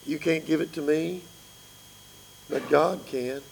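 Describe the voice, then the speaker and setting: A man in his fifties with a raspy voice, preaching an earnest sermon that pleads with his listeners. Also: on the high side, fairly even intonation, slow pace, a little hiss or hum, rough recording.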